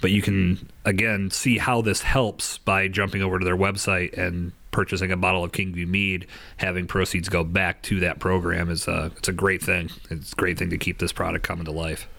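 A man's voice: low voice, little pitch movement, normal speed, gravelly, sharp tone. A man co-hosting a casual podcast chat, talking up a program with some hesitant repeats. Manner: promotional, hesitant, repeats words. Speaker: podcast co-host.

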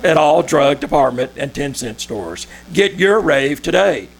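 A man playing a persuasive pitchman in a 1940s radio commercial, with emphatic, measured sales patter. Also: lively intonation, gravelly, full character voice. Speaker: commercial announcer.

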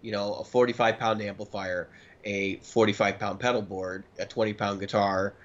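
A man's voice: lowish voice, level tone, moderate pace, muffled recording. A man in his early thirties chatting casually and emphatically as a talkative podcast co-host. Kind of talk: casual chat about gear and recording.

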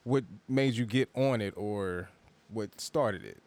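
A man co-hosting a podcast, asking his guests relaxed, curious questions. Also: fairly low pitch, slow. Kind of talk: interview questions.

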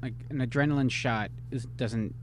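A man's voice: mid-range voice, steady tone, normal speed, slight background noise. A man co-hosting a casual podcast chat, chiming in agreeably and making his own points. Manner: agreeable, chimes in with backing remarks. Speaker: podcast co-host.

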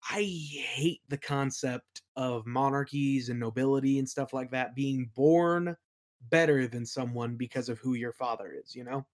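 A podcast guest chatting casually about creative work, a little hesitant and softening opinions as they go. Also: medium pitch, measured pace. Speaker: interview guest, creator of a fantasy audio play. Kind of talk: casual interview chat about worldbuilding.

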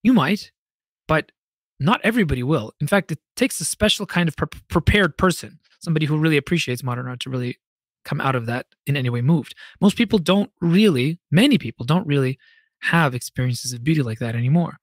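A man hosting a solo podcast, speaking in a literate, opinionated way with emphatic phrasing and deliberate pauses, like a reflective essay read to listeners. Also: fairly high pitch, animated, no echo. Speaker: podcast host.

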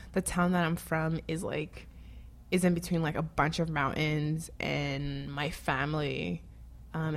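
A woman from Haiti speaking casually in an interview, rambling with lots of fillers and frequent laughter. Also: sits low.

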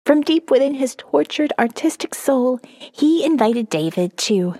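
A woman's voice: high-pitched, animated, unhurried, dark tone, dry recording, studio quality, in a large hall, heavily acted. A woman reading as a sardonic young college student narrating her survivor's diary in a wry, profane, conversational way for an audio novel. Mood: wry, confiding. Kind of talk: first-person diary narration, dramatic reading.

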